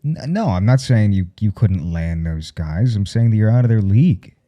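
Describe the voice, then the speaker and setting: A man playing a flustered, jealous professor in an intimate audio roleplay, speaking haltingly and gruffly with a gravelly voice and plenty of swearing. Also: lowish voice, expressive, warm tone, close to an everyday voice. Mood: defensive, jealous. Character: jealous, flustered male professor smitten with his teaching assistant.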